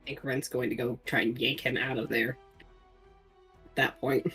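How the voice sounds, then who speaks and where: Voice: medium pitch, little pitch movement, moderate pace, fairly bright tone, faint music behind. A player in a tabletop game speaking in a playful, giggly way over an online call. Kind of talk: casual tabletop play.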